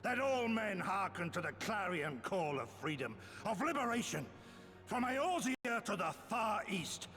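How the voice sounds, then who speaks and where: A man's voice: high-pitched, big pitch swings, unhurried, a bit bright, long reverb tail, faint music behind, exaggerated voice. A man playing an idealistic young orator in a fantasy game, delivering grand, rousing, archaic speech with great fervor. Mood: rousing, fervent. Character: fervent, idealistic young orator rallying people to freedom. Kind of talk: fantasy video game voice acting.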